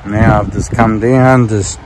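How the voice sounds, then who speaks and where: A man with an Australian accent casually walking through his hiking gear, relaxed and rambling with plenty of ums and restarts, filmed on a phone outdoors. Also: on the low side, slow pace, noisy background. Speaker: vlogger. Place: outdoors at a campsite by a river.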